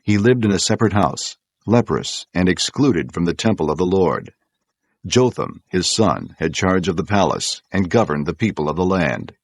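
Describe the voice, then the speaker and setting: A man with a deep, husky voice narrates scripture as solemn, measured storytelling. His intonation is richly varied. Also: animated, no echo.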